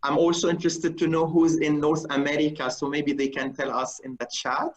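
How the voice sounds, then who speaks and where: A man hosting a webinar on a video call who runs an audience poll in a procedural way, pausing with fillers as he reads the results. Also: a little high, little pitch movement. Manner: procedural, pauses with fillers while reading the results. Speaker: webinar host. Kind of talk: moderating a live webinar poll.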